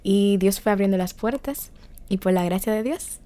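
A woman's voice: mid-range voice, normal speed, dark tone. Young Dominican woman telling her story. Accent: Dominican Spanish. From Dominican Republic. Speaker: student guest. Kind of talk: radio interview. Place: radio studio.

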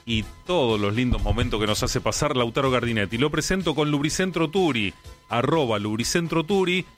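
Chatty Argentine man hosting radio. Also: medium pitch. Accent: Argentine, Rioplatense. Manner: chatty, effusive. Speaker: radio host. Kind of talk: casual radio presenting.

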